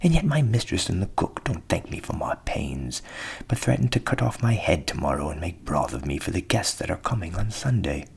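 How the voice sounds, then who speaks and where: A man voicing a proud, earnest storybook rooster, gently fussy, in a creaky half-whisper. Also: sits low, sing-song, fairly quick, voice pushed down, exaggerated voice. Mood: aggrieved. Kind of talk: storybook character voice in ASMR reading.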